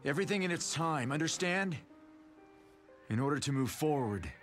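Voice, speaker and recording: Stern warlord, swinging pitch. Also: male voice, animated, normal speed, fairly bright tone. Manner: authoritative, grave. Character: stern, calculating warlord brother taking command. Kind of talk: dubbed video game cutscene acting. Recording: faint game music behind.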